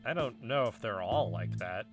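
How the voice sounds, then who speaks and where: A woman's voice: extremely low pitch, lively intonation, moderate pace, over music. A woman playing an easygoing young amateur investigator on a fiction podcast, casual and wry.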